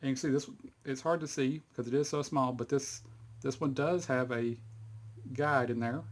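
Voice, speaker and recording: A man's voice: mid-range voice, fairly even intonation, normal speed. A middle-aged man with a Texas accent casually walks through a fidget spinner cleaning demo in a rambling, folksy way. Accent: Texan. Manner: rambling, folksy, chuckles often, many fillers. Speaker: YouTube hobbyist demonstrating fidget spinner cleaning. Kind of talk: casual how-to demonstration.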